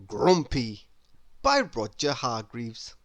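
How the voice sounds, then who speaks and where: A man reading a children's story aloud with dramatic, deliberate, expressive narration. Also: mid-range voice, dry recording. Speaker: storybook reader.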